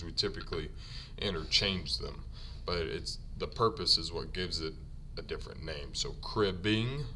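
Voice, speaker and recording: A man who is a native English speaker teaches ironworker trade vocabulary from slides, saying each term several times slowly for learners before explaining it. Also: deep voice, bright, forceful tone, slight background noise. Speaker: ironworker union instructor. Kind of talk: slide-based vocabulary lesson.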